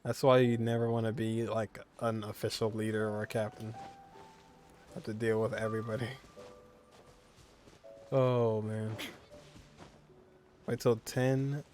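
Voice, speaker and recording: A man's voice: fairly low pitch, little pitch movement, measured pace, long pauses, darkish tone, faint music behind. A man giving casual gameplay commentary in a laid-back way, with short muttered asides. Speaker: gaming streamer.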